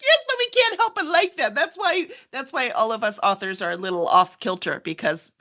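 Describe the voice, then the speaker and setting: A woman's voice: a little high, lively intonation, fairly quick, bright, forceful tone. A woman hosting a casual live-streamed book chat in an enthusiastic, gushing manner. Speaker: host of a book cover reveal.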